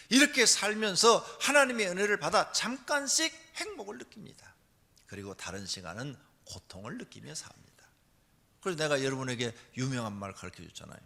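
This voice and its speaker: A man preaching a church sermon as a pastor, emphatic, moving between hushed asides and loud, forceful exhortation. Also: on the high side, gravelly. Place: large church hall during a service. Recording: long hall reverb.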